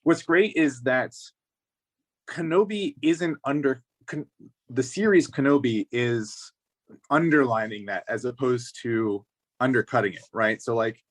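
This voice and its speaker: A man's voice: mid-range voice, measured pace. A man explaining his points as a panelist in a casual, analytical discussion, often checking that others follow. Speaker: podcast panelist.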